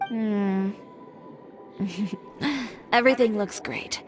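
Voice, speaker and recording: An actress playing a confident, determined young woman fashion designer in a video game, composed and brisk. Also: mid-range voice, fairly even intonation. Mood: composed, practical. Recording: music behind the voice. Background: music.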